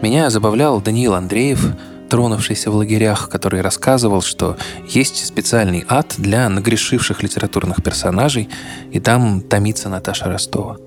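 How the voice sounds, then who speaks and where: Male podcast host, lowish voice, scripted narration, soft guitar music underneath. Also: moderate pace.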